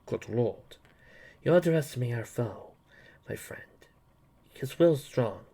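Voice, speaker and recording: A man voicing a solemn, empathic, mystical female superhero in a measured way. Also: mid-range voice, lively intonation, vocal fry, dark tone, husky, full character voice. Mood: pained resolve.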